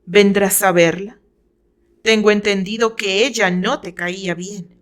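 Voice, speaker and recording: A woman's voice: medium pitch, fairly even intonation, measured pace, close to an everyday voice. A woman voicing a serious, suspicious male musician in a dramatized story, stiff and probing. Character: serious, suspicious man, a musician questioning his lover.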